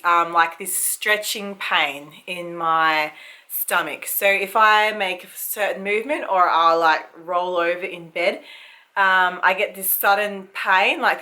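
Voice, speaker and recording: A woman in her thirties with an Australian accent, a little croaky from a cold, chatting casually to camera about her pregnancy with many ums and likes. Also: on the low side, pushed and bright. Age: 30s. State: recovering from a cold, slight cough. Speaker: vlogger. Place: family home.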